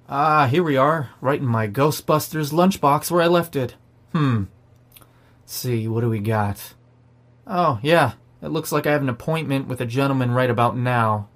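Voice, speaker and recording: A man's voice: mid-range voice, fairly bright tone, natural voice. A man playing a sarcastic, defiant, foul-mouthed zombie apocalypse survivor in an audio drama. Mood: mocking sarcasm. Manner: sardonic, profane. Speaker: narrator and lead character. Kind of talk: first-person audio drama narration and dialogue.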